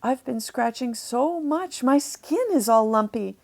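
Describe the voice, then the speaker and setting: A lumpy, friendly storybook manatee , read by a woman. The voice is slow and a little creaky. It explains things in an apologetic, matter-of-fact way. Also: fairly high pitch, animated, darkish tone, full character voice. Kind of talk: children's storybook character voice.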